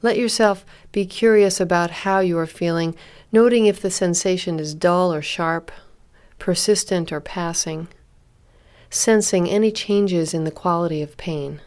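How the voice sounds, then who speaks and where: A woman leading a guided body scan meditation as an instructor, speaking calmly and gently in an unhurried way with long pauses. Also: lowish voice.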